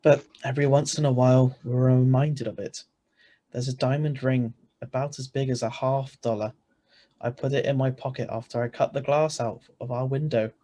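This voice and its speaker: A man's voice: medium pitch, fairly even intonation, moderate pace, warm tone, lightly acted. A man playing an ordinary, easily flustered married man who narrates his own story in an old-style radio play, read over a video call. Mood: wry storytelling. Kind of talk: 1940s radio acting read aloud.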